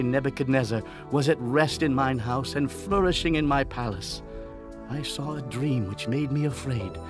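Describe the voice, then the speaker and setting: A man's voice: medium pitch, close to an everyday voice. A man playing a proud, commanding ancient king in a dramatized scripture reading, declaiming in stately archaic English. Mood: stately, exultant. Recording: music bed under the voice.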